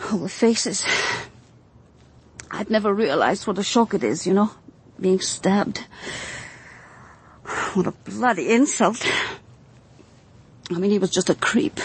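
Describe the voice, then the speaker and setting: An actress playing a tough, haunted woman with a husky, breathy voice, speaking intimately in a radio drama. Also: medium pitch, slow pace, long pauses. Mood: haunted, brooding. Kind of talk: broadcast radio drama acting.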